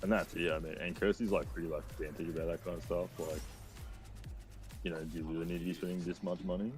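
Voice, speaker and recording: A man of about thirty with a very deep voice, rambling casually about games and swearing freely. He talks loosely, full of filler words, over faint background music. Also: level tone, quick pace. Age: 20s. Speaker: co-streamer. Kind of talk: casual gaming chat.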